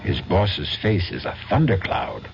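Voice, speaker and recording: A very low-voiced man narrates an old radio drama with theatrical, wry flair. His delivery swings widely over clear music, with dull broadcast sound. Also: big pitch swings, a little slow.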